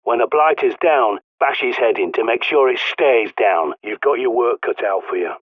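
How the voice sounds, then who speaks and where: An actor playing a blunt, sweary, paranoid survivalist mentor in a video game, with an English accent, heard through a radio filter. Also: male voice, mid-range voice, lively intonation, moderate pace, no echo. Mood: stern, blunt. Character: gruff paranoid doomsday survivalist man, bossy mentor. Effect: radio transmission filter. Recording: muffled narrow-band radio sound.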